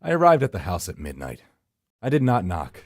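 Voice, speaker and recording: A man reading a visual novel story as dramatic narration, in a brooding, dry and deliberate manner. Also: low voice, huge pitch swings, no room sound. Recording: music behind the voice. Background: background music.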